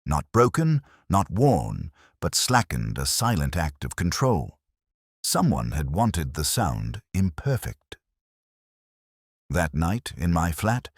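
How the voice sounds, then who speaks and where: Really deep male narrator reading a detective story, literary and formal, intonation moves a lot. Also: breathy edge, dry recording, clean studio sound. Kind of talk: scripted first-person detective story narration.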